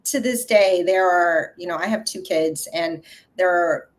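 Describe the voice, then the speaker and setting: Soft-spoken woman, halting and thoughtful. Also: lowish voice. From Vietnam. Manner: halting, many fillers and restarts. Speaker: guest, coffee company founder. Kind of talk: casual interview conversation.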